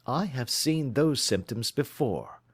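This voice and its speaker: A man voicing a keen, lofty male detective in an audiobook, crisp and lecturing in manner. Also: medium pitch, expressive, takes it slowly, no room sound, pitched above the performer's own voice. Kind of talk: audiobook character reading.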